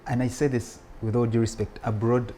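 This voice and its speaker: A man speaking as an interview guest, telling stories about his school years in a relaxed way, with frequent laughs and restarts. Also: medium pitch, a little fast, faint music behind. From Uganda. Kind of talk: relaxed conversational storytelling.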